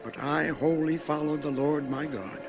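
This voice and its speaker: An actor playing a steadfast eighty-five-year-old warrior in a solemn, measured dramatized Bible reading. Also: male voice, on the high side, steady tone, vocal fry. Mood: solemn, proud. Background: music.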